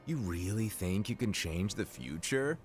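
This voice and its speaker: A man's voice: lowish voice, lively intonation, measured pace. An actor playing a playful, mischievous male master in a game cutscene, breezy and offhand. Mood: grave. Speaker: game character. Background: game music.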